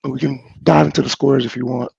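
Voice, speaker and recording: Sick male co-host, gravelly and hoarse, halting delivery, muffled lo-fi sound. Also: medium pitch, steady tone, a little mellow, no echo. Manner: hesitant, many restarts. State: hoarse from illness. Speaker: podcast co-host. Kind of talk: casual album review chat. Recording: dull lo-fi sound.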